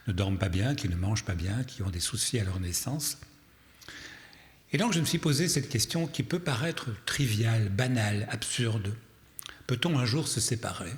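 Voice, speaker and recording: A man who is not a native French speaker gives a public lecture as a therapist, telling stories with wry, deadpan humour and posing deliberate rhetorical questions. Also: fairly low pitch. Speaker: psychotherapist giving a public lecture. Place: large reverberant hall, live talk. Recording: long hall reverberation.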